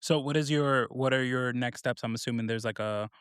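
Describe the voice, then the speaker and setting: A man's voice: medium pitch, fairly even intonation, brisk, a bit bright, smooth, no echo, clean studio sound. A man in his thirties hosting a podcast, asking loose, rambling questions full of fillers. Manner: rambling, filler-heavy questions with frequent laughs. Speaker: podcast host. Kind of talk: casual interview chat.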